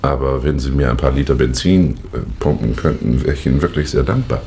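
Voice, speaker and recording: A strikingly deep-voiced young schoolteacher speaks with courteous apology. The acting is that of a 1960s radio crime play, with faint noise from an old record behind it. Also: male voice, a little fast, creaky, mellow. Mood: apologetic, polite. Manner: polite, apologetic. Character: apologetic young male physics teacher, stranded motorist.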